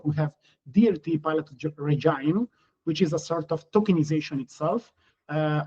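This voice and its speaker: Italian-accented man, halting and muffled. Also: a little high, warm tone, husky. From Italy. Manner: halting, frequent self-corrections. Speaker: panel guest. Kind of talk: panel discussion.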